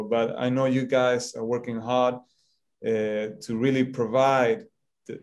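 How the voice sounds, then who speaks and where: A man hosting a panel chat, welcoming the guests in a halting way with many restarts. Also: medium pitch, a little mellow. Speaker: host. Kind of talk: casual hosting, hesitant.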